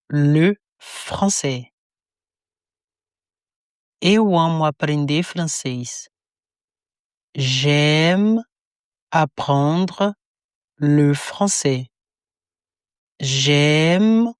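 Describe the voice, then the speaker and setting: Lesson narrator, woman, low and very slow, calm word-by-word delivery. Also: clean tone, no room sound, studio quality. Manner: calm, deliberate, word-by-word enunciation. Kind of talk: slow phrase-by-phrase drill reading.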